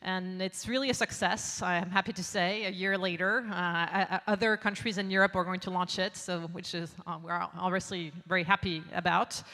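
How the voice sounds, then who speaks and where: Bright-voiced woman presenting in a hall. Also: fairly low pitch, fairly even intonation, a little fast, hardly any pauses. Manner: upbeat, proud, repeats words while searching for phrasing. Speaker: brand executive presenting. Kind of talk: conference presentation. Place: large conference hall.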